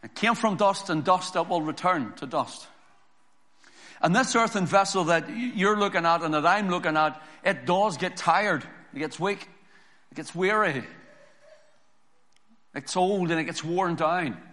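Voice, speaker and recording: A hoarse man preaching a sermon, reading scripture slowly and building to emphatic preaching. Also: high voice, sharp tone. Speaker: pastor.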